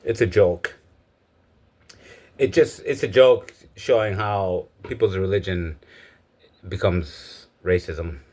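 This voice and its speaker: A man's voice: low-pitched, takes it slowly, pauses often, darkish tone. A man from Egypt giving a casual, opinionated spoken monologue. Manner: opinionated, lecturing. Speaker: speaker giving his views.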